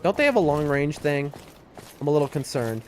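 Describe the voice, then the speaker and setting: A man's voice: fairly high pitch, big pitch swings, a little fast, a little hiss or hum. A man with a New York accent giving casual, rambling live game commentary and chatting to his viewers. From US East Coast. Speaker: game streamer. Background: music.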